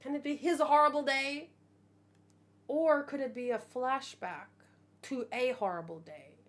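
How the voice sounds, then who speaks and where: A woman hosting a manga read-along, talking in a casual, expressive, thinking-aloud way. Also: sits high, unhurried. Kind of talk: casual reaction commentary with read-aloud dialogue.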